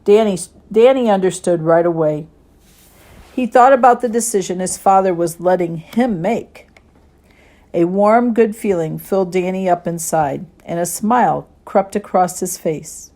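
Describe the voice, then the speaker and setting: A woman reading a children's story and gentle lesson expressively, as a Sunday school teacher does. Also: on the low side, unhurried.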